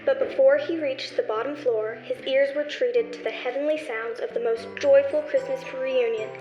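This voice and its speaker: A woman's voice: sits high, steady tone, normal speed, warm tone, lo-fi sound. A woman narrating a scripted story in the style of an old-time radio play. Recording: echoey room, music behind.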